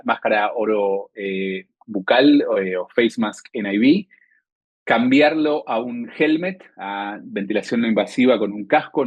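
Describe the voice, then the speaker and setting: A middle-aged Argentine man gives an online medical lecture, with a husky, slightly breathy voice. He speaks in a measured way and drops many hesitant fillers. The sound is dry and close, as on a video call. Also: fairly low pitch, no echo, highs cut off. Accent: Argentine Spanish. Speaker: guest lecturer, intensive care physician.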